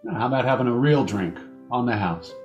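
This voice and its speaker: An actor playing a terse, guarded, tough nightclub owner in an old-time radio drama. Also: male voice, medium pitch, lively intonation, a little fast, dull audio, close to an everyday voice. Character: curt, wary, tough male piano-player nightclub owner. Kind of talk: 1940s radio acting. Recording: piano music behind the voice.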